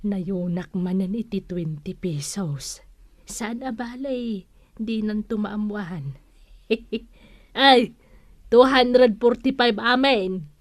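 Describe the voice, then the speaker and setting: A woman's voice: medium pitch, slow pace, no room sound, natural voice. A woman playing a sly, defensive village shopkeeper in a comic radio drama, drawling and evasive. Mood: sly, businesslike. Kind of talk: broadcast radio comedy drama acting.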